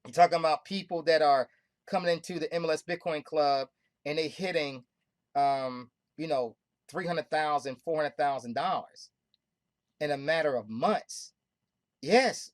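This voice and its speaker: A woman hosting a group call, pitching hard with emphatic, repetitive, preaching talk. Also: lively intonation, unhurried, a little mellow, no room sound, studio quality. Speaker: host of a money club call.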